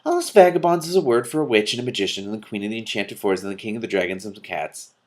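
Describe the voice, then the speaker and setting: A man's voice: fairly low pitch, lively intonation, very fast. Man voicing Killer, a naive winged creature. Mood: puzzled. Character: naive, literal-minded winged animal named Killer.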